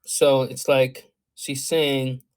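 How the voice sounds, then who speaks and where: A man's voice: medium pitch, level tone, drawn-out words, smooth. Meandering man chatting on a livestream. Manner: meandering, thoughtful, many ums and you-knows; reads viewer comments aloud and reacts. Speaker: astrologer giving live readings. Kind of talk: livestream talk answering chat.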